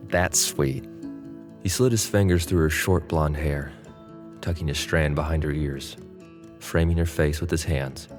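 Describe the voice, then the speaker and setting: Super low guy narrating a romance audio drama, calm and even, faint music behind. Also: normal speed. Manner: low steady narration, pushier and louder in Nate's lines. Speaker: narrator and actor playing Nate.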